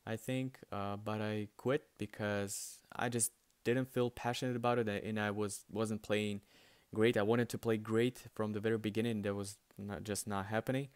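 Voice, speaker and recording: A man's voice: on the low side, little pitch movement, normal speed, smooth, no echo. A young man, a native Russian speaker with a light Russian accent, answering viewer questions in a casual, hesitant way with many fillers and restarts. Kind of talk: casual spoken Q&A.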